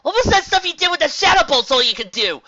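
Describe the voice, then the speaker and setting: Young female pony, performed by a woman, blunt, impatient, excitable, raised pitch, sharp bright tone, slightly lo-fi. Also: quick pace. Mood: indignant.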